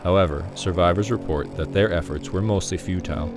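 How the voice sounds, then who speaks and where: A man reading scripted mock-documentary narration in a formal, deadpan manner. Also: sits low, a little fast. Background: music.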